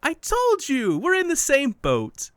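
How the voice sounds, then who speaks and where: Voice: sits high, wildly swooping pitch, a little slow, a bit bright, no room sound, clean studio sound, heavily acted. An actor playing a smug, playful, unreal best friend in an audio drama, teasing and condescending in a sing-song way. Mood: breezy, cryptic. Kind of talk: audio fiction podcast acting.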